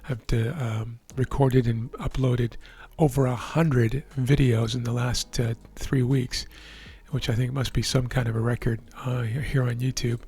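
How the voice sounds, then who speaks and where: Hoarse man calmly talking through charts. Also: medium pitch, a little slow. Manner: relaxed, explanatory, checks in with "right?". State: hoarse, losing his voice. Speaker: trading educator. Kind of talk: live market commentary over a chart screen.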